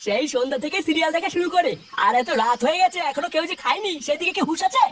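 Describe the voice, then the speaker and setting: A performer voicing a grumpy village husband and father in a comedy cartoon, sarcastic and scolding, with a pitched-up effect. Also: expressive, rapid-fire, fairly bright tone, recorded from a distance, a little hiss or hum. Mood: complaining. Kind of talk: exaggerated comic cartoon dialogue.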